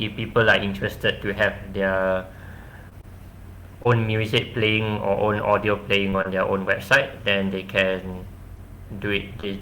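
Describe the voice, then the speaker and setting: Flat-voiced, bright-toned man presenting. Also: lowish voice, a little hiss or hum, poor audio. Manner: hesitant, restarting explanations. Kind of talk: casual tech talk Q&A. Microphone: video call.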